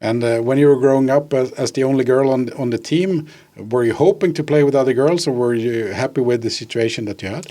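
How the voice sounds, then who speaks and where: A man works as a podcast interviewer, putting questions conversationally. His voice is dark and mellow, at an average pitch for a man. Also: brisk.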